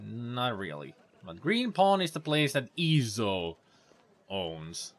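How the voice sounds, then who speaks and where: A man's voice: medium pitch, very animated, takes it slowly. A man giving casual, rambling commentary over gameplay, with sarcastic asides. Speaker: let's play commentator.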